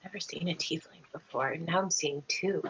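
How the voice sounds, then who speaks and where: A woman's voice: low voice, moderate pace, a bit bright. A woman playing a tabletop role-playing game, chatting casually in character over a video call. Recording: noisy video call with clicks.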